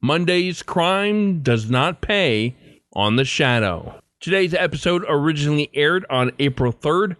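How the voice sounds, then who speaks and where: A man with a slightly high voice reads a scripted podcast intro as host. The sound is dry and close to the mic. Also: animated, measured pace, fairly bright tone, dry recording.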